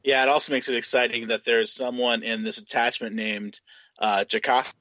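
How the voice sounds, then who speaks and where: A man's voice: medium pitch, little pitch movement, a little fast, sharp tone, no room sound. A man chatting casually on a hobby podcast, talking through game details in an informal, conversational way. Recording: dull, lo-fi podcast audio.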